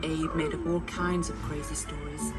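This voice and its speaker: A woman's voice: low-pitched, flat delivery, moderate pace, recorded from a distance. A woman narrating children's stories in a scripted storytelling style for a story ride, heard from a little way off. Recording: loud music bed under the voice.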